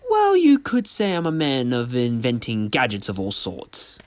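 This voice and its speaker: An actor playing an eccentric, quick-witted male adventurer in a fan audio drama, in a jaunty theatrical manner. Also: medium pitch, wildly swooping pitch. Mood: proud.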